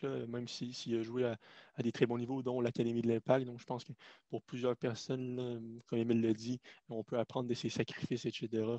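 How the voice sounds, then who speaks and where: Québécois male co-host, monotone, casual, dull audio. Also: mid-range voice, no echo. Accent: Québécois French. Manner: laid-back, colloquial. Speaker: podcast co-host. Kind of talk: casual podcast chat. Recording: slightly dull lo-fi sound.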